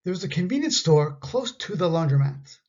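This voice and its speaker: A Canadian man with a husky, breathy voice reads out a grammar lesson for learners of English, spacing each example sentence out. The recording has a slightly dull, muffled sound. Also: on the high side, sing-song, darkish tone. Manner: slow, carefully enunciated example sentences. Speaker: English teacher.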